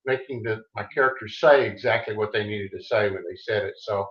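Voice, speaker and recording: A man speaks with a Texas accent, easy and unhurried as he tells about his book. He circles back and restarts his thoughts as he goes. His voice sits in a roomy space and comes through a dull, lo-fi video call. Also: lowish voice. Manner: easygoing, rambling with restarts. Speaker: author guest. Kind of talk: casual interview chat.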